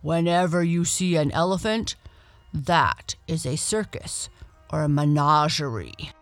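A big shaggy dancing bear from a storybook, voiced by a woman. He speaks out loudly and slowly, with hearty, kindly emphasis. The sound is close and dry. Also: deep voice, expressive, no echo, faint music behind.

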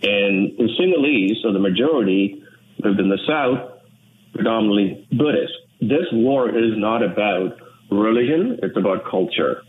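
A man's voice: fairly low pitch, animated, a little hiss or hum. Earnest Sri Lankan-born man on a phone line. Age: 50s. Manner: earnest, reflective, halting with frequent restarts. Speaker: guest. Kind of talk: personal story in a radio interview.

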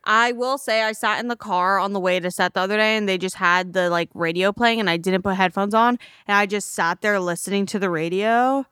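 A woman's voice: medium pitch, a little fast, few pauses, fairly bright tone, smooth, no echo, studio quality. A young adult woman co-hosting a podcast in casual comic banter, slangy and emphatic in her delivery. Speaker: podcast co-host.

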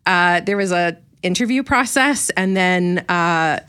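A woman's voice: lowish voice, unhurried, fairly bright tone, clear, resonant tone. A young adult woman, a teacher, earnestly explaining things at length as a guest on a radio show. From Ukrainian community in Winnipeg. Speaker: festival ambassador and teacher.

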